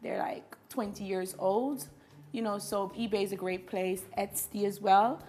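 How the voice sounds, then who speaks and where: A woman's voice: mid-range voice, fairly even intonation, measured pace, a little mellow. Bubbly woman, laughs often. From Jamaica. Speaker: guest, vintage fashion blogger. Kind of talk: casual morning TV interview. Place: TV studio.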